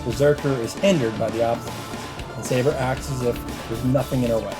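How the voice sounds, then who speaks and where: A man's voice: mid-range voice, fairly even intonation, a little fast. A man let's player reading visual novel narration dramatically, with casual commentary of his own. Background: game music.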